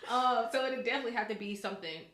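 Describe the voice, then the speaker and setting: A woman's voice: on the high side, very fast, reverberant, a crowd in the background. A woman co-hosting a podcast, talking casually and colloquially. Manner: colloquial, informal. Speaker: podcast co-host; news anchor. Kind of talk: casual chat.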